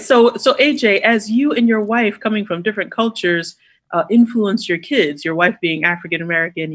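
A man with a notably high voice co-hosts a podcast, earnest and probing as he asks pointed questions. The sound is dry and close. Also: fairly bright tone, no echo. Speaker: podcast co-host. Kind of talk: casual podcast chat.

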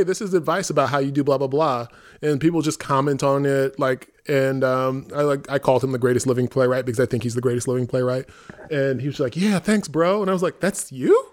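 A man's voice: medium pitch, brisk, darkish tone. A man with an American accent chatting on a podcast as a theatre artistic director, in an informal, self-deprecating, rambling way. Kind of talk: casual conversational podcast talk.

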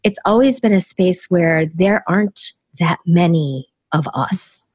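A middle-aged woman who grew up speaking Korean first tells her story as a podcast guest. She talks slowly, in a low, unhurried voice, and often stops to restart a thought. The sound is dry and close. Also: expressive, a little mellow, slightly rough, dry recording. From United States. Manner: thoughtful, halting, with many restarts and fillers. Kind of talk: reflective storytelling interview.

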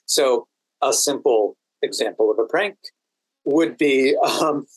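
An American man speaking as a podcast guest, telling stories in a halting, deliberate way with dry amusement. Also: mid-range voice, husky, no echo, poor audio. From Washington DC, United States. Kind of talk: anecdotal interview conversation.

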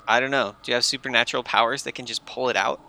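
A man's voice: mid-range voice, fairly even intonation, very fast, vocal fry, bright, forceful tone. A man playing a tabletop game, casually improvising in and out of character in a hesitant, questioning way.

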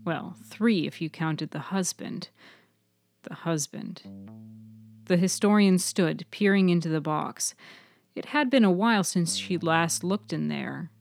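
A woman reading scripted literary fiction for a podcast, with measured, deliberate phrasing. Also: deep voice, darkish tone. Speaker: writer-narrator of fiction podcast.

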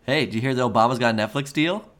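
A man's voice: mid-range voice, very fast, a bit bright. A man co-hosting a comedy podcast, chatting casually with dry, teasing humour. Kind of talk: casual chat.

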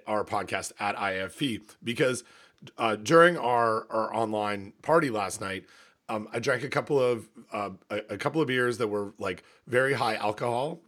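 A man with a gravelly, slightly hoarse voice, rambling and cutting himself off in a casual podcast chat. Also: fairly low pitch, fairly bright tone. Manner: rambling, self-interrupting. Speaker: podcast co-host, university academic.

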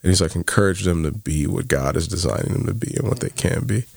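A man's voice: low voice, lively intonation, fast talker, gravelly, darkish tone, husky, no room sound. A man in his thirties co-hosting a faith-based podcast, telling stories in a casual way with many fillers. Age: 30s. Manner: storytelling, quoting others, many fillers like "like". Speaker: podcast co-host.

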